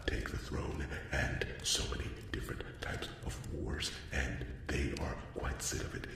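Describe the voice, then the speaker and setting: A man playing a shy, eager young dwarf blacksmith in an ASMR roleplay, speaking in a hushed, halting, awkwardly polite and rambling way. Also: full character voice. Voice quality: low, gravelly, hushed, often breaking into whisper. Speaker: roleplay performer. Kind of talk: ASMR character roleplay monologue. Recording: echoey, distant, hissy noise, clicks.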